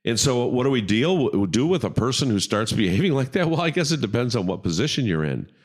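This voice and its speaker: A man's voice: medium pitch, very animated, quick pace. A middle-aged man with a big voice, a pastor hosting a casual podcast chat and talking emphatically, often checking in with "okay?".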